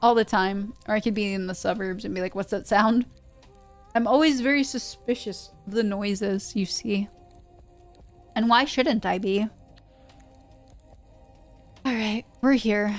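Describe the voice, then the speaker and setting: A woman streamer talking at a slow, easy pace over faint music. She rambles playfully and casually, as a host settling in before a read-aloud, and speaks English as a second language. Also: medium pitch. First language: not English. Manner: playful, rambling, breaks into sound imitations. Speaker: livestream host reading pulp science fiction aloud. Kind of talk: casual livestream chat.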